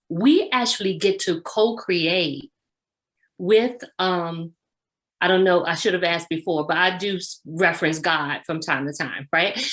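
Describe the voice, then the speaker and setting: A woman speaking as a life coach guest in a reflective, thoughtful interview style, often checking in with the listener. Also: fairly low pitch. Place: on a video call.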